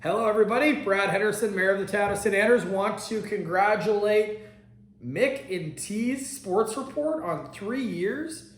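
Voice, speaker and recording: In a roomy, echoing recording, a man with a notably high voice speaks as a town mayor. He delivers a congratulatory message laced with teasing. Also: moderate pace. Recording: echoey, roomy sound.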